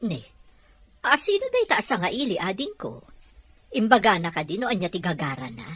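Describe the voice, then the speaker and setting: A welcoming older-sisterly woman voiced by an actress in an Ilocano radio drama. Her voice is husky and very expressive, recorded dry and close. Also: mid-range voice, a little fast, no echo. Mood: curious, warm.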